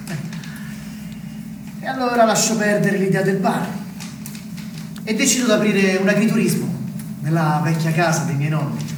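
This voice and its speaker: An actor playing a bitter, stubborn small-town man in a naturalistic stage play, halting and probing, with wry asides. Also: high-pitched, close to an everyday voice. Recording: echoey, distant, very noisy live stage recording.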